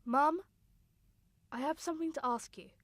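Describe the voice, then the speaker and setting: Woman playing a high-voiced grieving young sister. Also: moderate pace, noisy background, natural voice. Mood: heavy-hearted, urgent. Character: grieving young sister narrating her brother's loss. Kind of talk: scripted radio drama narration.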